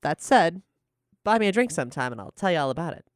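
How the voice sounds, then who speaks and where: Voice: medium pitch, sing-song, fast talker, no room sound, studio quality. An enthusiastic, articulate podcast host talking conversationally through a question-and-answer show. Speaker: showrunner and host.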